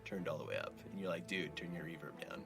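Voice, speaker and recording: A man's voice: low-pitched, fast, gravelly, fairly bright tone, soft background music. A man bantering casually as a podcast co-host, with a playful, teasing, joking manner.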